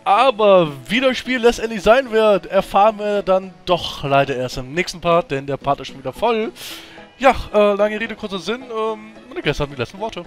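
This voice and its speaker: A man's voice: sits high, animated, moderate pace, soft background music. A man hosting a visual novel reading series, chatting casually and wrapping up the episode. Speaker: channel host. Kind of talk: casual let's-play commentary.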